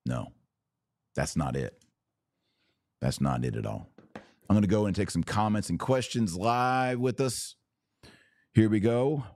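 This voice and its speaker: A man with a slightly raspy voice, worn from hours of talking, hosting a casual religious commentary podcast in short, emphatic phrases. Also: on the low side, expressive, unhurried, long pauses, darkish tone, dry recording, clean studio sound. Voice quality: a little raspy. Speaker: podcast host.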